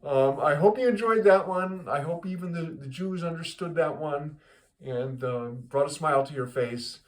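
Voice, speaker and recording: Halting, rambling man telling a joke. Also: fairly high pitch. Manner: rambling, halting, repeats words as he goes. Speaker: joke teller, host of a regular joke segment. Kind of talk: casual spoken joke-telling to listeners.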